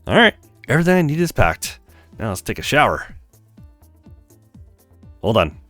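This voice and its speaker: A man's voice: medium pitch, sing-song, normal speed, a bit bright, no room sound, faint music behind. A man reading the part of a conscientious, pensive young man, the protagonist of a visual novel.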